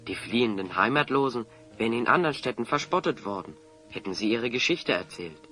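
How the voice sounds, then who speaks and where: Clever hero detective type, crisp forceful voice, assertive, radio play, faint music behind. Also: male voice, mid-range voice, animated, brisk. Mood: triumphant, explanatory. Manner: articulate, deductive, assertive. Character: clever, confident male hero explaining a scheme. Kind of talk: German audio drama acting.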